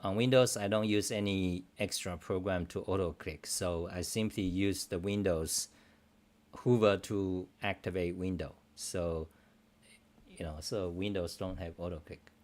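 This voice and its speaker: A man's voice: low-pitched, fairly even intonation, measured pace, darkish tone. A middle-aged man whose first language is Chinese, a livestream host who rambles casually and bluntly through viewer questions, swearing freely in loose, broken phrasing.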